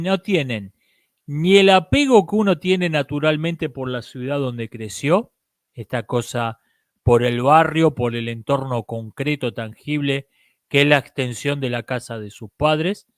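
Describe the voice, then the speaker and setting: Argentine male host, mid-pitched, emphatic casual opinion talk, dry close mic. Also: dry recording. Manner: emphatic, repeats himself, halting restarts. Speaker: livestream host answering viewer questions.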